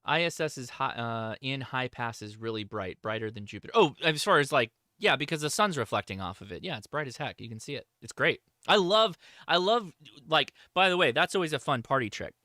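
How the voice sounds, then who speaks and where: A man's voice: medium pitch, animated, brisk, few pauses, pushed and bright, no room sound, studio quality. A man hosting a livestream, answering chat questions in a casual, informal, enthusiastic hobbyist way.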